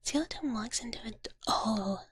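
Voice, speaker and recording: A woman streaming ASMR in a soft, breathy whisper, chatting casually and playfully with viewers up close to the microphone. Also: on the high side, normal speed, a little hiss or hum. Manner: playful, speaks of self in third person. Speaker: ASMR livestreamer.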